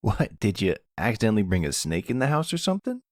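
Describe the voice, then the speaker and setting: A man's voice: fairly low pitch, big pitch swings, fast, no echo, studio quality, pitched above the performer's own voice. A man playing a hot-headed, slangy young son and loyal brother, blunt and exasperated, in an audio roleplay. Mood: groggy, puzzled. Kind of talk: ASMR audio roleplay drama.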